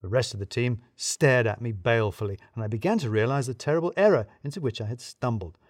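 A man reading a scripted comic mystery in the first person, with wry, theatrical emphasis and deliberate pauses. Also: medium pitch, no room sound, studio quality. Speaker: audiobook reader narrating in first person as an office worker.

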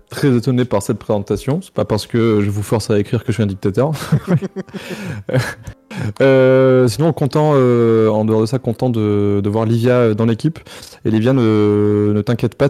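Mellow-voiced man, teasing podcast chat. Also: on the low side. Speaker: podcast panelist. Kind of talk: casual chat.

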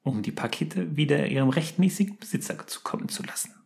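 A man voicing a stern, sneering male professor in a fan audio story, speaking slowly in a hushed, cold way. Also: on the high side, lively intonation, gravelly, voice pushed up.

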